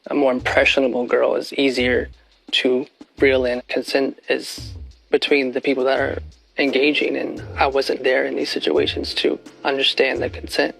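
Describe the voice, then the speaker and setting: Man, documentary interview, creaky mid-pitched voice, halting, measured. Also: monotone, a bit bright, faint music behind, low-quality recording. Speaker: interviewee, former executive assistant.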